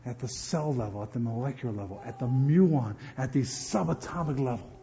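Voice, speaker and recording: A man from New Jersey preaching a sermon as pastor, animated and often repeating words as he builds emphasis. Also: medium pitch, a little fast, lo-fi sound, a little hiss or hum.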